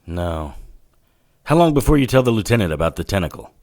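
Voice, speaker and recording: An actor playing a sardonic 1940s detective who narrates a noir audio drama and trades wisecracks. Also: male voice, fairly low pitch, very animated, brisk, a little mellow. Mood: gruff, skeptical. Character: wry, hard-boiled 1940s male occult detective narrator.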